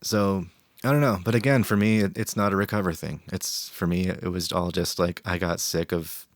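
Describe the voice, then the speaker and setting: A man's voice: sits low, steady tone, normal speed, dry recording, studio quality. A man in a casual podcast panel, reflecting aloud on socializing and drinking, with frequent restarts. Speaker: podcast guest.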